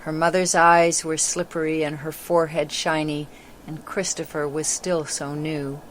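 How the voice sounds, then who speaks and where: A female voice, set low, reads a children's story. The delivery is scripted, dramatic narration. Some background noise sits behind the voice. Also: level tone, unhurried.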